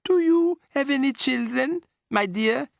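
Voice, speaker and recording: A man voicing a calm elderly grandmother who speaks softly and slowly in a storybook reading. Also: very high voice, gravelly, dry recording, clean studio sound, voice pushed up, full character voice. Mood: tender. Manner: soft, tender, unhurried.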